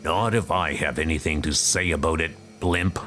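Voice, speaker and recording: A man's voice: a bass voice, normal speed, pitched below the performer's own voice. A man playing a stern, terse superhero in a comic dub. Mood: grim resolve. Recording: loud background music.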